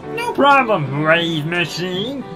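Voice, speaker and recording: A woman's voice: on the low side, wildly swooping pitch, very slow, over music, heavily acted. A woman voicing a cheerful, eager-to-please male robot lackey in an audio drama. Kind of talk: amateur audio drama acting.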